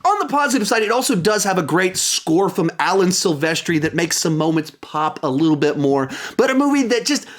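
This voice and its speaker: A man's voice: high-pitched, big pitch swings, brisk, few pauses. An American man in his thirties hosting a movie review, talking conversationally and stressing titles and key words. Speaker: video host, film reviewer. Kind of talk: ranked movie review commentary to camera.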